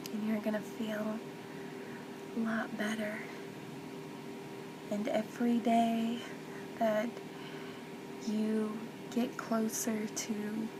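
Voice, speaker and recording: A woman playing a gentle, comforting mother in a soft-spoken ASMR roleplay, soothing and tender, often slipping toward a whisper. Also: creaky. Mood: earnest, encouraging. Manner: soothing, tender, reassuring, hushed with whispery stretches. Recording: loud background noise with low rumble.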